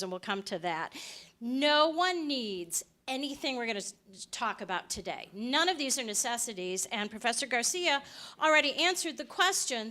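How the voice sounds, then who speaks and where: A woman giving a presentation on a panel, chatty and joking with quick asides. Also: fairly high pitch, bright, forceful tone. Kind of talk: lively presentation talk.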